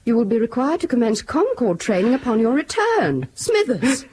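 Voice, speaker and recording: An actress playing a tender, candid mother in a radio drama, gentle and earnest. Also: on the high side, very animated, normal speed, breathy edge. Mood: excited, teasing.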